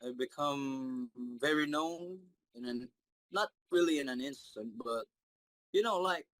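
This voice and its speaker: A young man, answering interview questions in a shy, halting way with many fillers. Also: a little high, lively intonation, gravelly, no room sound, low-quality recording. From Philippines. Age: 20s. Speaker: interview guest, singer.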